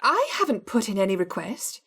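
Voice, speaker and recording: An actress playing a stern, easily exasperated female laboratory scientist with a brisk, indignant manner in a video game. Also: medium pitch, sing-song, heavy background noise. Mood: puzzled, irritated.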